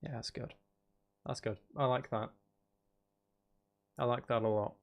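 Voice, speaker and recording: A man's voice: mid-range voice, steady tone, unhurried, vocal fry. A man giving casual, unscripted gameplay commentary as a video host, thinking aloud and trailing off mid-sentence.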